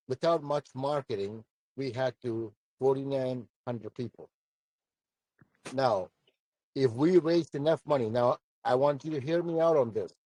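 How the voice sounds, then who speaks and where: A man with a commanding voice fields questions in a live audio session. His tone is mellow and dark, and the sound is dry with no room echo. He speaks bluntly and repeats his points for emphasis. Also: mid-range voice. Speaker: project founder answering questions.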